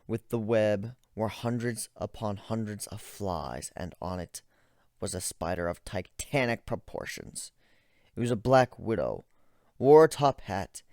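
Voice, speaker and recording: A man narrates a story in the first person with dramatic delivery. His voice sits fairly low, and it is recorded close and dry, with little room sound. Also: a little mellow, no room sound.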